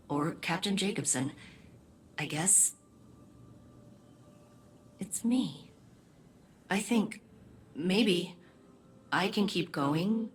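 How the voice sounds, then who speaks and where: A woman voicing a lonely but hopeful young character in a video game, halting and understated in her delivery. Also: on the low side, slight background noise.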